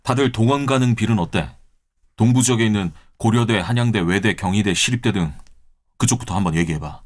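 Man doing a calm student protest leader, low voice, brisk, commanding. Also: lightly acted. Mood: steady, commanding. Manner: brisk and commanding, plans like a field officer. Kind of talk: audio drama acting.

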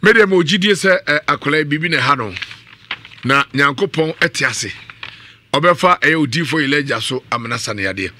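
A man's voice: fairly high pitch, lively intonation, fairly quick, sharp tone, slight background noise. A middle-aged Ghanaian man hosting a live radio show, speaking close to a studio microphone. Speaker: radio host. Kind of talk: live radio talk show hosting with ad-libbed sponsor reads. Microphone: studio condenser mic on boom arm, up close.

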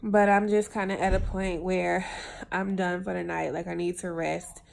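A woman's voice: lowish voice, little pitch movement, moderate pace, creaky. A young woman vlogging casually to her phone from a hotel bed, congested and unwell, talking in a tired, laid-back way. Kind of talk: casual vlog to camera. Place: hotel room, lying in bed. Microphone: phone held at arm's length.

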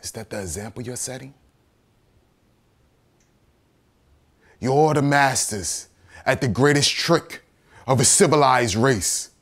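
A male actor performing a theatrical monologue as a bitter, righteous condemned rebel, in an accusatory, oratorical manner that swings between hushed and thundering. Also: mid-range voice, normal speed. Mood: bitter, sardonic.

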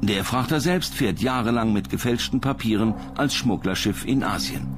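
A man's voice: on the low side, expressive, moderate pace. Male documentary narrator over music.

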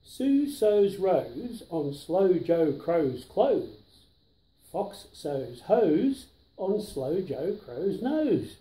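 Older man, children's read-aloud, emphatic rhyming, animated intonation, mellow tone, roomy living room sound. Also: sits high, very slow. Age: 60s or 70s. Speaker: storyteller reading to children.

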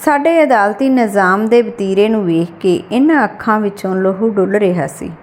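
A woman's voice: mid-range voice, normal speed, a little mellow. Woman narrating a short story. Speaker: audiobook narrator.

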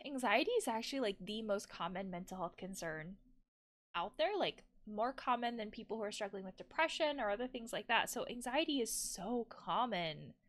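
A woman in her thirties giving casual, expressive game commentary, thinking aloud in a conversational way. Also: mid-range voice, moderate pace. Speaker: counselor and video game streamer. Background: music.

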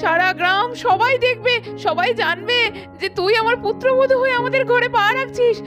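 A woman's voice: very high voice, normal speed. A woman voicing an emotional middle-aged mother in a puppet cartoon, repeating herself as she pleads. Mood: joyful. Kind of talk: cartoon voice acting. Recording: background music under the voice.

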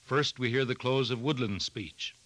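A man hosting a farm news program, reading scripted narration and interviewing a guest in a measured, formal way. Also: medium pitch, fairly bright tone. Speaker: radio program host.

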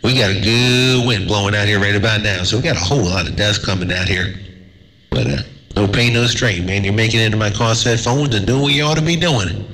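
A man chatting on CB radio from a desert station, laid-back and drawling, with a slightly raspy voice from a cold. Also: lowish voice, fairly quick, a bit bright, in a large hall, slight background noise, low-quality recording. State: has a cold. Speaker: CB radio operator.

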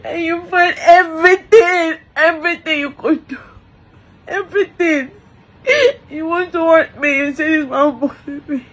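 A woman's voice: very high-pitched, fairly even intonation, a little slow, a bit bright. A distraught woman, crying and wailing through an emotional lament, repeating phrases over and over.

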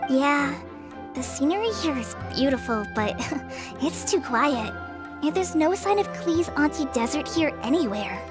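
High-voiced earnest teenage girl. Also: expressive, a little slow. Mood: earnest, thoughtful. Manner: hesitant, earnest. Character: gentle, earnest teenage girl forest ranger. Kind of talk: video game voice acting. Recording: music behind the voice. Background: game music.

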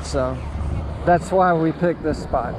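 An American man in his sixties chatting casually and haltingly as he narrates an outdoor vlog. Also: a little high, background noise. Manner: halting, unhurried. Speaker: amateur radio operator and vlogger. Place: outdoors at a beachside park. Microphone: handheld action camera or phone at arm's length.

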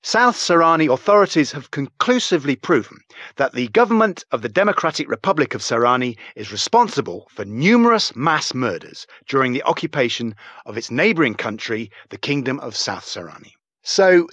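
Formal, animated TV news anchor. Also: male voice, medium pitch, dry recording. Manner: formal, measured, emphatic newsreader delivery. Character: serious, formal male television news anchor. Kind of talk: scripted news reading.

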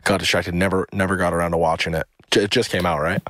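A man's voice: low voice, flat delivery, fast, a bit bright, no room sound, clean studio sound. A young man co-hosting a casual podcast, rambling and full of filler words and swearing. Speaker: podcast co-host.

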